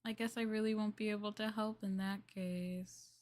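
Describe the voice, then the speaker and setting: A woman plays a young female maid in an ASMR roleplay. She is gentle and courteous, with a bashful, hesitant way of talking. She sounds eager to please as she offers her services to her master. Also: mid-range voice, fairly even intonation, normal speed, close to an everyday voice. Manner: soft, polite, hesitant, apologetic, eager to please. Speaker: VTuber streamer playing a maid for live chat. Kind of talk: live roleplay ASMR, improvised chat.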